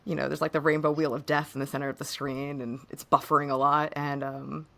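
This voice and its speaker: Woman w/ really deep hoarse voice chatting on a podcast, kinda halting and casual. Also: flat delivery, pushed and bright. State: hoarse, voice fading at times. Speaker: podcast co-host. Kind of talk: casual personal conversation.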